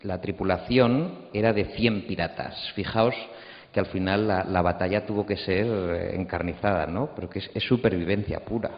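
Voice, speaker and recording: A man moderates a panel from a stage. His voice is deep, and he explains things haltingly, pausing as he goes. The sound is dull, with long hall reverb. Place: stage of an auditorium. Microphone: handheld microphone.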